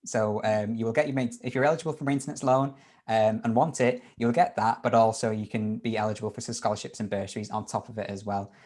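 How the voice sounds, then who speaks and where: A man's voice: on the low side, fairly even intonation, quick pace, darkish tone. A man hosting a university webinar Q&A on a video call, upbeat and informal with lots of fillers and restarts. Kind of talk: live webinar Q&A.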